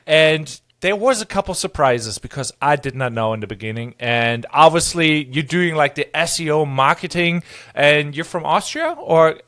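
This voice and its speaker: A man's voice: a little high, animated, normal speed, a bit bright. A man co-hosting a podcast, asking questions in a loose, rambling way with many fillers. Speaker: podcast co-host. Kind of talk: casual conversational interview.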